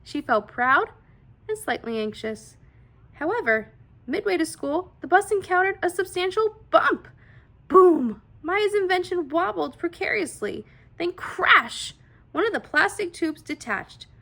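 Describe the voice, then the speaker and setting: A woman narrates a children's storybook in a high voice with a creaky edge. Her reading is expressive and deliberate, leaning into the dramatic words as a read-aloud host would.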